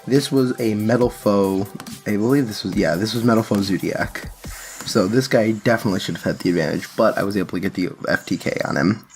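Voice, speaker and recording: A man's voice: lowish voice, brisk, soft background music. A man giving casual, unscripted commentary on card game play and decks, explaining things and correcting himself as he goes. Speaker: card game channel host.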